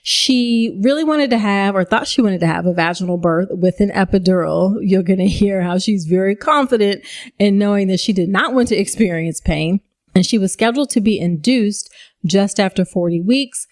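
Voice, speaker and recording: A woman hosting a pregnancy podcast, who introduces episodes and then interviews guests. Her manner is encouraging and affirming. Her pitch sits in the middle of the usual range for a woman. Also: normal speed, a little mellow. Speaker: podcast host, obstetrician.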